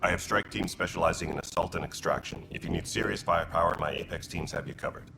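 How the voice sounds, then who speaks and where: An actor playing a gruff, dutiful alien militia leader in a video game, speaking with blunt military terseness in a gravelly voice. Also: male voice, quick pace, background noise. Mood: businesslike. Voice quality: gravelly, very deep.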